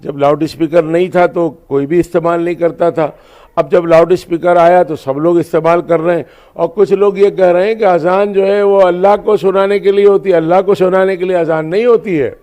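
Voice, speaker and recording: A man's voice: sits high, flat delivery, brisk, mellow. A man giving an explanatory interview statement as a spokesman, often repeating his phrases. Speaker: religious organisation spokesman.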